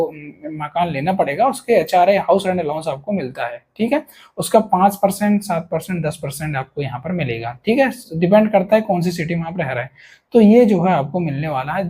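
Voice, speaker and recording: A man's voice: sits high, mellow, slightly rough. A man with an Indian accent presenting an informal explainer to viewers in an instructive way, often checking in with short tags. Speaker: video presenter explaining police pay.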